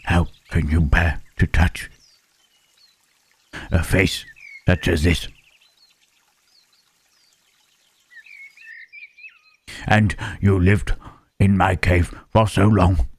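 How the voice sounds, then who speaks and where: A man voicing a gentle, self-loathing Frankenstein's monster in an ASMR roleplay, speaking in halting, formal phrases with a husky, rough voice. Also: low voice, steady tone, full character voice. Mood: wistful, tender. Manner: halting, formal phrasing with searching tag questions. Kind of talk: slow ASMR roleplay monologue.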